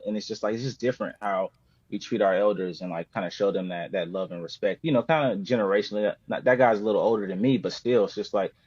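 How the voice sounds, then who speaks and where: A man's voice: on the low side, a little mellow. An American man of thirty from the West Coast, a poet speaking as a podcast guest. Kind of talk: poetry reading and casual chat. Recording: dull lo-fi roomy sound.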